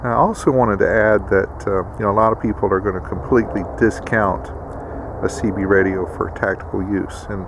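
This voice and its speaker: Gravelly, low-voiced man vlogging casually. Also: steady tone, brisk, background noise. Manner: folksy, addresses viewers as guys. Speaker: video host. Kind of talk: casual instructional vlog talk to camera.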